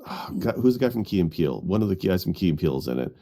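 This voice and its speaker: A man with a lowish, dark and mellow voice that creaks heavily. He talks as a co-host on a podcast, giving his opinions in an easy, informal conversational style. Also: sing-song, racing. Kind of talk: casual opinionated chat.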